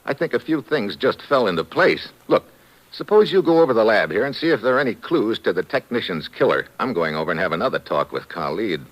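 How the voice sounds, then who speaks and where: An actor playing a tough American special agent in a 1950s radio drama, talking in clipped, hard-boiled lines. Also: male voice, lowish voice, big pitch swings, brisk, slight background noise, poor audio. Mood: intent. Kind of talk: 1950s radio detective acting.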